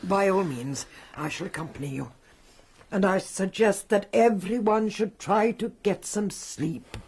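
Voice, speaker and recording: An actress playing a shrewd, composed older woman detective in a 1920s radio whodunit, measured and authoritative. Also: mid-range voice, darkish tone, husky. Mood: cool, measured. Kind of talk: radio drama acting.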